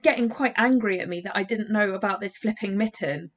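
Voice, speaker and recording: A woman's voice: mid-range voice, a little fast. A young English woman with an English accent, chatting casually and rambling with fillers while she works on a craft project at her desk. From England. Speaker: doll customizing vlogger.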